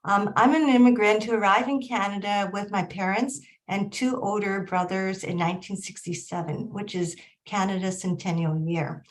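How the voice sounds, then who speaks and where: Measured middle-aged woman from Hong Kong. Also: mid-range voice, fairly even intonation, low-quality recording. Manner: measured, with frequent ums. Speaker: research director, panelist. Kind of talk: panel discussion. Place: home or office in Vancouver.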